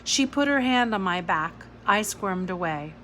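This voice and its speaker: Slow-paced woman reading a story aloud. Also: medium pitch, animated, fairly bright tone. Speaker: audiobook-style reader. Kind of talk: scripted narration, children's novel read aloud. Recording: clear background noise.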